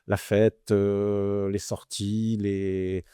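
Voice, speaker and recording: A man from northern France with a ch'ti accent, a guest telling his travel stories in an animated, slangy way with many restarts. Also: on the low side, drawn-out words, clean tone, dry recording, studio quality. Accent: northern French (ch'ti). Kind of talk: casual interview conversation.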